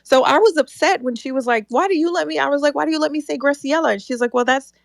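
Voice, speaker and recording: Woman, podcast co-host, casual chat, gravelly voice, dry sound, animated storytelling. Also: high voice, quick pace, no room sound.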